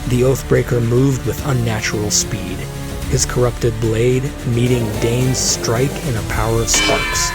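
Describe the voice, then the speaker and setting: Man narrating epic fantasy over loud music. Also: mid-range voice, steady tone, unhurried. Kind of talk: scripted dramatic fantasy narration. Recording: loud dramatic music behind.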